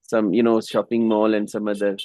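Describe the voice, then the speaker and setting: A man from India, chatting casually as a practice partner, relaxed and hesitant with many fillers. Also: on the low side, a little fast, dry recording. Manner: relaxed, hesitant, frequent "you know" fillers. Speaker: English conversation partner.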